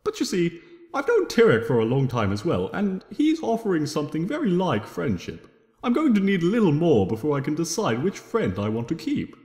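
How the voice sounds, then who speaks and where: Theatrical man with wildly swooping pitch. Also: wildly swooping pitch, fairly quick, a little mellow. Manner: theatrical, playful. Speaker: fan fiction reader and narrator. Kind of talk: dramatic first-person storytelling in character as a playful trickster. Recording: reverberant room with long echo.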